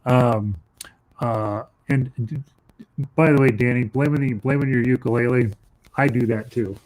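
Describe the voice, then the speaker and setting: A man's voice: fairly even intonation, unhurried, a little mellow, rough recording. A man teaching a live online ukulele class from home, talking casually with lots of ums and restarts into a desk microphone. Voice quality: low voice. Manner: casual, rambling, with many ums and self-corrections. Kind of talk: live online music lesson. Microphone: voice mic and separate instrument mic.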